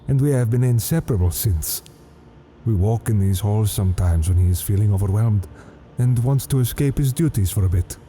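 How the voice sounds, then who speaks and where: A polite, devout old cardinal, as a man acts him out, with a dark, mellow, lowish voice. He speaks formally and earnestly. A faint hiss sits underneath. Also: fairly quick, close to an everyday voice. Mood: courteous.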